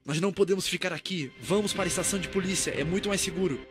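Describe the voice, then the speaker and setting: A man's voice: high voice, very fast, close to an everyday voice. A man with a Brazilian accent voicing a young, alert, commanding rookie policeman in a video game fan dub. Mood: urgent. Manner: urgent, commanding. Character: young rookie policeman, alert, urgent, decent, zombie survivor. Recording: loud music behind the voice. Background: loud game music.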